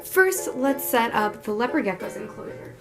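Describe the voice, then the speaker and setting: A woman's voice: fairly high pitch, expressive, normal speed. A young woman with a cold, sounding a little tired and under the weather, chatting casually through a pet care how-to with lots of likes and ums. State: sick, a little hoarse and tired. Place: bedroom at home. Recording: background music throughout. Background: background music.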